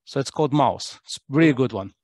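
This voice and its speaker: A man's voice: mid-range voice, animated, fairly quick, darkish tone, slightly rough, no echo, clean studio sound. A man from Poland, talking casually as a podcast guest, hesitant with many fillers and restarts. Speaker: podcast guest, tour guide.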